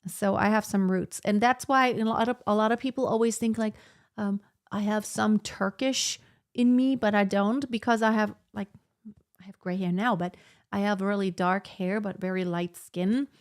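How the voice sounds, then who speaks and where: Thirty-something woman vocal coach, rambly live-stream chat, lots of um and like, middle pitch. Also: fairly even intonation, moderate pace. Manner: chatty and rambling, many fillers and restarts. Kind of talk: casual live-stream chat reading viewer comments. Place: home in southern Germany.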